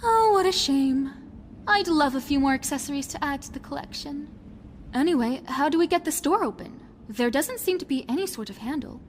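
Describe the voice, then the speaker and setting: A woman's voice: high-pitched, animated, a little fast. A woman playing a vain, fashion-loving young socialite in an audio drama, with an affected, haughty, teasing manner. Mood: pouty. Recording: faint background noise.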